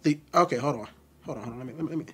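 A man hosting a casual video-call chat, teasing and blunt in an animated way. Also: on the high side, racing, breathy edge, faint music behind. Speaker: commentary channel host.

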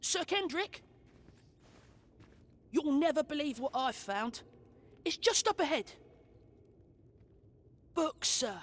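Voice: sits high, animated, slow pace, long pauses, fairly bright tone, full character voice. An actor voicing an eager young village boy in a fantasy game, talking informally. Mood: excited.

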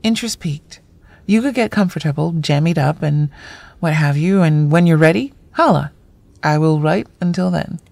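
An actress playing a self-deprecating screenwriter in a naturalistic audio drama, with dry, sardonic banter. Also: female voice, deep voice, moderate pace, gravelly, a little mellow, no room sound. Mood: dry, teasing. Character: wry self-deprecating woman screenwriter among close friends.

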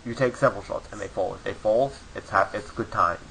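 A man's voice: lowish voice, brisk, gravelly, a bit bright, a little hiss or hum, rough recording. A man talking casually while gaming with a friend, brash and crude, joking around. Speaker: gamer.

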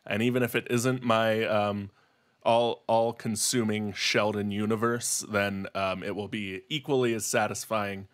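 A man's voice: on the low side, steady tone, a little slow. A man co-hosting a podcast, talking in a mock-earnest conversational style. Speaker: podcast co-host.

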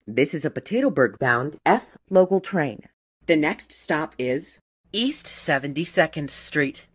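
A woman with a low voice reads formal transit announcements. Her delivery is slow and scripted, in separate pieced-together phrases, with strong swings in pitch. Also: animated, breathy edge. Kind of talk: scripted automated train station announcement.

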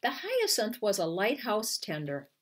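A woman's voice: mid-range voice, wildly swooping pitch, measured pace. A woman in her sixties reading a children's storybook aloud expressively, with careful, emphatic phrasing. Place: small furnished room at home, indoors.

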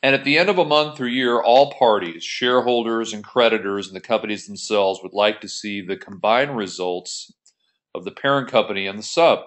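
A man with a fairly low voice gives an explanatory screen-share tutorial. He talks as an instructor, taking things methodically in informal, plain wording. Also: moderate pace, fairly bright tone. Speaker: accounting instructor.

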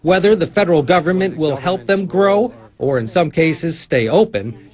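A man in his forties, a field reporter, narrating a news story in a clear, measured delivery while standing on a town street. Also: on the high side, lively intonation, a little mellow. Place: outdoors on a small-town street.

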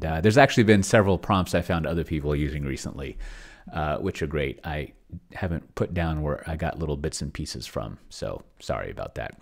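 A man in his forties talking casually on a livestream in a halting, digressive way, close to a desk microphone. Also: very deep voice. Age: 40s. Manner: halting, digressive, self-interrupting. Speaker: streamer. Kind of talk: casual rambling livestream talk. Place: small home office with bookshelves. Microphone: large desk microphone up close.

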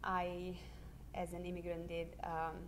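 A middle-aged woman from Serbia, a high school history teacher, speaking in a measured, earnest way with many pauses in a classroom interview on a handheld mic. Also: completely flat delivery, a little hiss or hum. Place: classroom, indoors.

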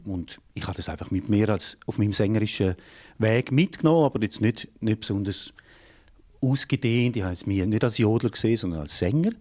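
A man's voice: lowish voice, expressive, a little fast, a little mellow. A man in his forties with a St. Gallen Rhine Valley Swiss German accent, answering interview questions thoughtfully in a small studio room, restarting phrases as he searches for words. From St. Gallen Rhine Valley, Switzerland. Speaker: jazz singer and yodel teacher, interview guest. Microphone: handheld mic held by interviewer.

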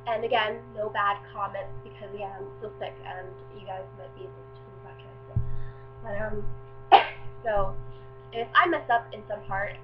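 A woman's voice: medium pitch, moderate pace. A teenage girl getting over a cold, chatting casually with many fillers before singing a song cover, recorded on a webcam mic in her small bedroom. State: recovering from a cold. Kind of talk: casual webcam chat, then singing along to an instrumental. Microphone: built-in webcam mic at close range. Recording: lo-fi webcam audio with backing music.

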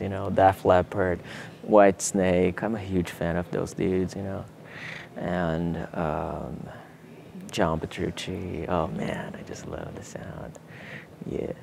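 A young Brazilian man, a native Portuguese speaker with a Brazilian accent, casually answering interview questions in a relaxed way while seated in a theater hall. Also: deep voice, gravelly, a little hiss or hum. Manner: relaxed, laid-back, many fillers like "you know" and "man". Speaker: guitarist.

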